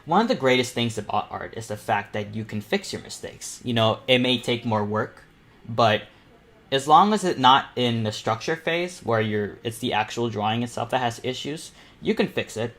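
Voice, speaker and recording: A young man with a medium-pitched voice hosts an informal painting lesson. He talks off the cuff, circling back and repeating phrases as he works. His delivery is casual and encouraging, like a peer showing others the ropes. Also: animated, normal speed, fairly bright tone. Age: teenager or young adult. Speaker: painting panel host and self-taught artist. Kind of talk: casual live tutorial commentary while painting.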